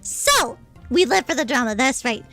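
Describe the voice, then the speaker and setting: A woman streamer chatting casually with her livestream viewers in a bubbly, cutesy, expressive manner. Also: sits high, normal speed, soft background music. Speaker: streamer, VTuber.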